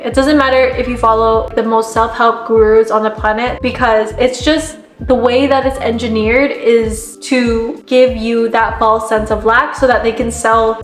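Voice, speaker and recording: Young female self-help vlogger, earnest and direct, voice a little worn; roomy echo. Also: fairly high pitch. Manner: earnest, frequent "like" fillers, direct address. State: losing her voice, sniffly. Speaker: self-help content creator. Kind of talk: casual motivational talk to camera. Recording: echoey room with faint music.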